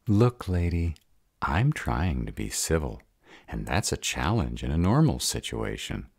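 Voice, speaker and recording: A man playing a gruff, hot-tempered were-dragon protective of his mate in an audio roleplay, gravelly, blunt and growling. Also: very deep voice, big pitch swings, measured pace, no room sound, studio quality, heavily acted. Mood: angry, threatening. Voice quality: gravelly, raspy. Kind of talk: audio roleplay drama acting.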